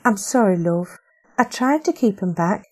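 Woman voicing a soft apologetic old matron. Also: mid-range voice, expressive, normal speed, close to an everyday voice. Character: kindly older orphanage matron, apologetic.

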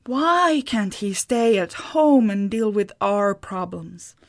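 A woman's voice: mid-range voice, animated, slow pace. A woman voicing a blunt, exasperated farm wife. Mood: complaining.

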